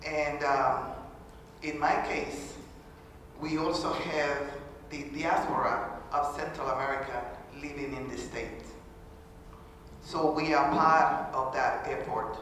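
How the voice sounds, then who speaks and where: A woman's voice: low-pitched, fairly even intonation, a bit bright, a little hiss or hum. Woman speaking in an echoey hall. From Honduras. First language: Garifuna. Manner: earnest, halting delivery with many pauses and restarts. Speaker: speaker at a panel event. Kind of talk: formal public talk. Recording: echoey hall from far off, faint ambience.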